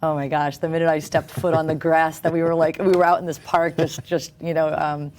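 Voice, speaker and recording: A woman's voice: sits low, flat delivery, fairly quick, a little mellow, a little hiss or hum. A woman actress talking as a guest on a TV interview show, earnest, with fillers and restarts. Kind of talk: casual interview talk. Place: television studio.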